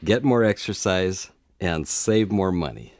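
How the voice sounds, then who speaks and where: A man's voice: low-pitched, animated, unhurried, darkish tone, no echo, clean studio sound. A middle-aged man with an American accent co-hosting a talk show in a casual, conversational, agreeable way. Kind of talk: casual chat.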